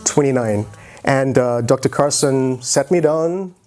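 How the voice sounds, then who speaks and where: A man in his forties speaks to an event from the stage. He has a medium-pitched voice and tells his story in a loose, conversational style with a joking streak. He talks into a handheld mic as a guest speaker. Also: a little slow, darkish tone. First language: English. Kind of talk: informal motivational talk on stage. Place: stage in an auditorium, indoors. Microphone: handheld microphone.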